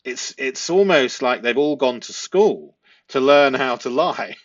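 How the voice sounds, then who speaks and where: Male commentator, mid-pitched voice, analytical and stop-start delivery, close dry sound on slightly muffled audio. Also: lively intonation, measured pace, dry recording. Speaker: host, statement analysis commentator. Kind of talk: analytical commentary reading transcript excerpts aloud.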